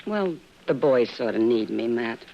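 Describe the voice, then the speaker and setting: A muffled, faintly hissy radio recording presents a frontier saloon woman with an American voice. She is wistful and warm-hearted, choosing her words gently and hesitantly. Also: extremely low pitch, expressive, measured pace, exaggerated voice. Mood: gentle, hopeful. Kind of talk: 1950s radio western acting. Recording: muffled old radio recording, some hiss.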